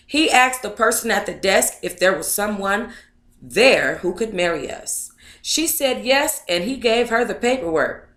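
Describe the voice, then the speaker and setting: An American woman with a mid-pitched voice, recorded in an echoey space. She hosts a book club livestream, moving between casual chat and reading aloud. Her way of talking is sassy and colloquial. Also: a bit bright. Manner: sassy, colloquial, addresses listeners as honey and baby. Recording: echoey, roomy sound.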